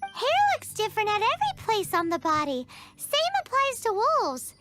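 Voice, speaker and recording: A woman voicing a tiny, cheeky, childlike fairy companion in an anime video game, with a squeaky, exclamatory delivery. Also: huge pitch swings, moderate pace, pushed and bright, soft background music, heavily acted. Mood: earnest.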